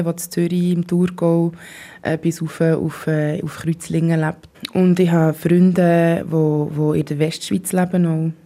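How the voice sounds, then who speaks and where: A Swiss German woman in her thirties, a singer, gives thoughtful answers with pauses and fillers. Her voice sits a little low and sounds warm and mellow. She is interviewed in a basement rehearsal room. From Switzerland. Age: 30s.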